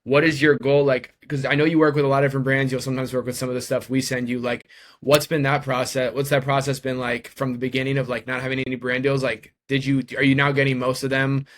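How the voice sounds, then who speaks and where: A man's voice: mid-range voice, little pitch movement, quick pace, a bit bright. Man casually interviewing a guest.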